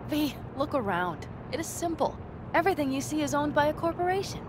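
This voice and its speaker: A woman's voice: sits high, sing-song, a little fast. An actress playing a headstrong, sardonic nomad woman in a video game, blunt and commanding. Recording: clear vehicle and outdoor noise.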